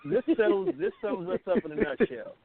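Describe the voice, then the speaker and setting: A middle-aged man with a high voice and lively swings of pitch co-hosts casual talk radio. He is emphatic and colloquial, and the sound is thin and phone-like. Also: brisk, over a noisy crowd. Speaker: radio talk show co-host. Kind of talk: casual opinionated chat.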